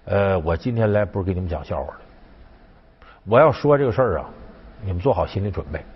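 A man's voice: sits low, lively intonation, quick pace, slightly rough, lo-fi sound, voice pushed down. A man voicing a wily, aggrieved court official, coaxing and emphatic, in a historical story. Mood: scheming. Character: wily male Han court official, bluffing, aggrieved schemer.

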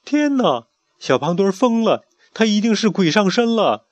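A man's voice: sits high, sing-song, normal speed, no echo, voice pushed up. A man doing an alarmed gossipy chicken. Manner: loud, alarmed exclaiming. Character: excitable, gossipy farmyard chicken.

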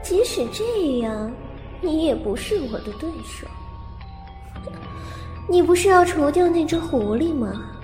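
Woman as a slow, echoing, cold female spirit. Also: sits high, animated, mellow. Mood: cold bargaining. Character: cold, calculating female spirit without a body. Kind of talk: Chinese costume audio drama acting. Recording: cavernous reverb effect over music.

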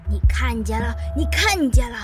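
A woman's voice: a little high, sing-song, normal speed, over music. A woman playing a suspicious, nosy old female neighbour in a sci-fi radio drama, secretive and insistent. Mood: suspicious, uneasy.

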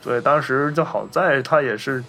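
A man's voice: mid-range voice, normal speed, pushed and bright, soft background music. A man, talking casually about films as a podcast host. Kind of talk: casual film discussion.

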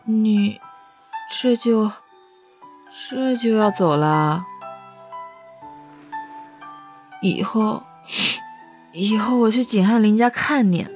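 A woman's voice: mid-range voice, takes it slowly, pauses often, darkish tone, faint music behind, natural voice. A woman playing a carefree, naive young princess who passes as a boy, artless and hesitant, in a period audio drama. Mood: hesitant, attached.

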